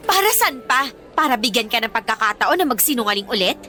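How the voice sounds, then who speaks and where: Shrill, jealous bratty teenage girlfriend. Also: very animated, moderate pace, soft background music. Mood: defiant. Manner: confrontational, taunting, sharp-tongued. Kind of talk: Filipino radio drama acting.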